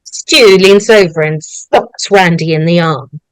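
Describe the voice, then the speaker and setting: A woman's voice: on the low side, slow pace, no room sound, rough recording. A woman narrating, reading out the stage directions of a screenplay at a table read.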